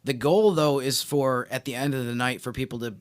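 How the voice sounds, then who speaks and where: A man's voice: mid-range voice, steady tone, brisk, no room sound. Dry-recorded man talking sports analysis. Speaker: team reporter and podcast co-host.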